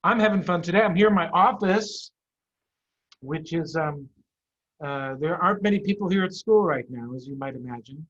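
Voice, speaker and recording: A man, a head of school, chatting to children and reading them a story in an animated way over a video call. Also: fairly high pitch. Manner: animated, addressing children with simple questions. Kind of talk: children's storytime read-aloud. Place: office. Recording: dull, lo-fi video-call audio.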